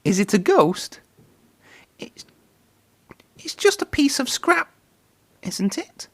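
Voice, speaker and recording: A man voicing a timid, kindly young steam engine in a high, thin, nervous storybook voice. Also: big pitch swings, slow pace, fairly bright tone, exaggerated voice. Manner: nervous, earnest.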